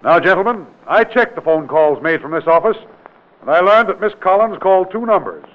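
An actor playing a brisk, authoritative state investigator with a formal, commanding manner in an old radio drama. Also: male voice, high voice, animated. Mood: firm, authoritative. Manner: formal, commanding interrogation with clipped questions. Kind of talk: 1940s-50s radio drama acting. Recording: tinny, noisy old radio recording.

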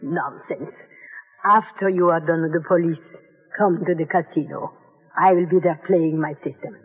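Through a muffled, phone-like old radio with long reverb comes an elderly lady. She is husky and high-voiced, theatrical and coquettish, with a proud, defiant streak. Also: moderate pace, soft background music. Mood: defiant, brisk. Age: older. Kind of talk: 1940s-50s radio drama acting.

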